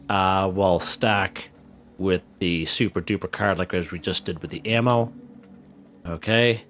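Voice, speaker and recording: A man hosting a casual gameplay tutorial, relaxed and step by step, who is losing his voice. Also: fairly low pitch. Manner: relaxed, walks viewers through step by step. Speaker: gaming channel host.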